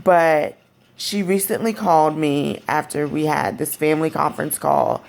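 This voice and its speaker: A young woman with a raspy, deep voice, speaking candidly in a personal vlog. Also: normal speed, darkish tone. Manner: candid, frank and conversational. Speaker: vlogger. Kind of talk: personal storytelling to camera.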